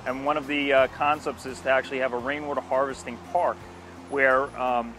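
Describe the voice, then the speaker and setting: An older man giving an informal, unscripted explanation to camera outdoors, speaking in a halting, explanatory way. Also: medium pitch, flat delivery, a bit bright, poor audio. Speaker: landscape company presenter. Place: outdoors at a street corner by a station. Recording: music behind the voice, outdoor recording. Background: music.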